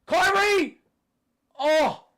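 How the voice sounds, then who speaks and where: A young man hosting a live basketball watchalong, swearing often and yelling at big plays, his voice going hoarse and cracking. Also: extremely high pitch, drawn-out words. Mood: frantic. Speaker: host.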